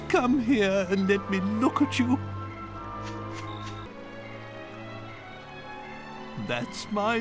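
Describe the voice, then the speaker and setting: A man's voice: very high voice, exaggerated voice. An actor playing a pompous, doting old king in a video game, with regal, exclamatory phrasing. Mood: tender, overcome. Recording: music playing behind the voice. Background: game music.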